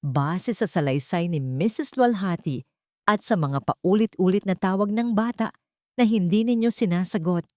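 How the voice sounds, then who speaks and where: A woman's voice: lowish voice, darkish tone, dry recording, studio quality, lightly acted. A woman voicing a polite, professional policeman who is firm but sympathetic, in an audio story.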